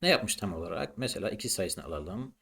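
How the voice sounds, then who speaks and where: A man's voice: low-pitched, animated, brisk, creaky, bright, forceful tone, slightly rough. A man teaching a maths lesson in an explanatory, didactic way, posing questions and then answering them.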